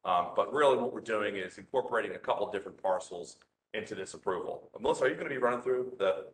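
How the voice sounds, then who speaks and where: A man, a land use attorney, walking a community meeting through slides, loud-voiced and casual, with many fillers and restarts. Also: fairly low pitch, fast. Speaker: land use attorney presenting a rezoning case. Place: community meeting room, standing to speak. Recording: echoey meeting room, faint noise.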